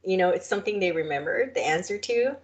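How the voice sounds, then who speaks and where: A woman's voice: on the low side, lively intonation, fast talker. A woman co-hosting a podcast, chatting casually and laughing often. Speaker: podcast co-host. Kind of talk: casual chat.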